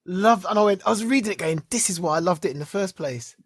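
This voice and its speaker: A man co-hosting a podcast in casual chat, excitable, laughing often and tripping over repeated words. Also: high-pitched, fast talker, husky, no echo, studio quality. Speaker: podcast co-host.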